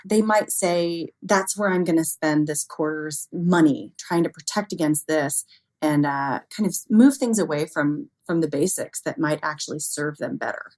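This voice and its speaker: A woman with a low-pitched voice talks as an expert guest in an interview. She explains ideas conversationally, in informal language, often through everyday examples and quoted reactions. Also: normal speed, a little mellow. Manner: frequently chuckles, informal, illustrates with acted-out quotes.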